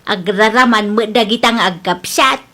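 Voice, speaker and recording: An actress in a radio drama playing a concerned, gossipy neighbor who talks in a confiding way. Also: female voice, medium pitch, unhurried, bright, forceful tone.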